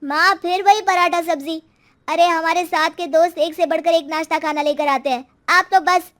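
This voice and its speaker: Woman as high loud teenage son, entitled, complaining, impatient, storybook character. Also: steady tone, moderate pace. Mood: impatient complaint.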